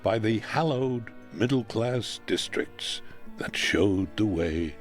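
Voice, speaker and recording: A man's voice: lowish voice, expressive, slow pace. A man reading a literary memoir aloud as scripted narration. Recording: music under the voice.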